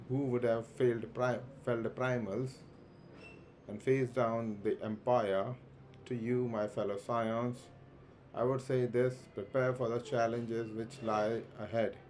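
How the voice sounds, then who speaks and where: Guy streaming a game, reads the dialogue out loud kinda slow, trips over words, noisy background. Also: medium pitch, little pitch movement. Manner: reads slowly and plainly with stumbles and restarts. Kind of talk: casual game stream, reading dialogue aloud.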